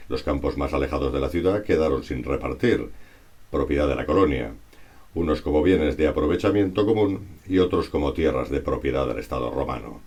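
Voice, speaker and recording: A man's voice: extremely low pitch, a little mellow. A man reads a scripted historical narration in a formal, expository way. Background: music.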